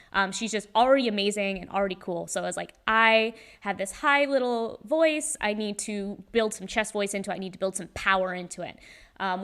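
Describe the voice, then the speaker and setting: Chatty young-sounding woman, smooth voice. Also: medium pitch. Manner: chatty and rambling, many ums, laughs often. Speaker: singer and voice actor in a fan musical. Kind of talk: casual livestream Q&A chat. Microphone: handheld microphone.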